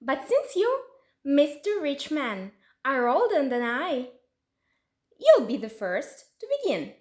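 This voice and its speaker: A woman with an Uzbek accent voicing a bold, clever young village girl in a storybook folk tale, assertive and animated. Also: sits high, slow pace, heavily acted. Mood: bold, firm. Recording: roomy, echoey sound.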